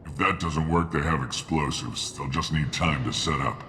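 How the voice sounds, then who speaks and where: Very low gruff male voice, cynical mercenary sergeant, curt and dismissive, video game dialogue, noisy background. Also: moderate pace, sharp tone. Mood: matter-of-fact. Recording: clear background noise.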